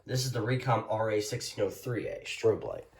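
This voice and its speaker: Low-voiced man, rambling casual showcase. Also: normal speed, gravelly, slight background noise. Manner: rambling, hesitant, informal. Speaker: collector presenting a radio collection. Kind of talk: casual product showcase narration.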